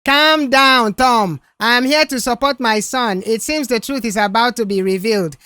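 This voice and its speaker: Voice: medium pitch, fairly bright tone, dry recording, voice pushed up, natural voice. A narrator voicing a brash, scornful middle-aged mother who scolds dramatically in an audiobook.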